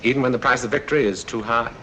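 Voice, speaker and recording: An actor playing a suave, calculating villain in a 1940s film, talking in a formal, deliberate way. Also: male voice, medium pitch, steady tone, natural voice. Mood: veiled menace. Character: suave, calculating male villain, regretful yet ruthless. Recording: noisy old 1940s film soundtrack.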